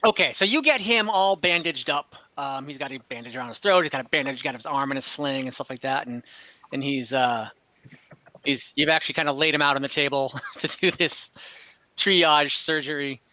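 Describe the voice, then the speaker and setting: Male game master, forward bright tone, casual halting narration, lightly amused, dry close sound, a bit dull. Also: medium pitch, no room sound. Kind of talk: improvised narration of an online tabletop fantasy game.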